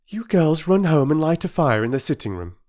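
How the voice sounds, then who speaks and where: A man voicing a kind middle-aged mother who speaks brightly and reassuringly in a storybook reading. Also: a little high, sing-song, a little fast, voice pushed up. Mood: instructing.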